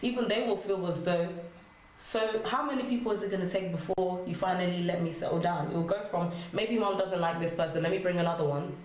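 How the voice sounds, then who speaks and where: A woman's voice: fairly low pitch, quick pace, darkish tone. A young adult woman speaking as a guest in a casual panel discussion, illustrating her points with acted-out quotes. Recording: very echoey room, faint noise.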